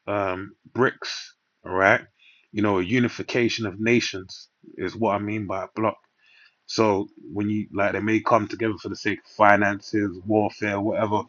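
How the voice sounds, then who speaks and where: A man's voice: on the low side, a bit bright, dry recording, muffled recording. A man preaching in an informal Bible-teaching style, emphatic and peppering his points with little checking tags like "all right".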